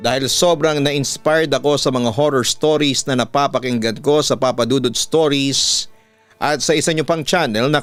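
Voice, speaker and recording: A man with a Filipino accent reads a listener's horror story letter as a radio storyteller, in a measured way with deliberate pauses. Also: mid-range voice, smooth. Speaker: radio announcer and host reading listener letters. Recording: background music under the voice. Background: instrumental music.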